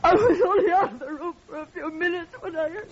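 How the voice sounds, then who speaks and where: An actress playing an anxious, tearful woman in an old radio drama, halting and emotional. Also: very high-pitched, crowd noise. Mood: distraught. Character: anxious, tearful woman, patient's distraught loved one. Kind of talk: 1940s-50s radio drama acting. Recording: dull, noisy old radio recording.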